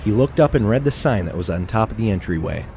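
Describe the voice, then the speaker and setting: A man's voice: lowish voice, animated, quick pace, creaky, darkish tone, slight background noise. A man narrating a scripted adventure story in a theatrical storytelling manner.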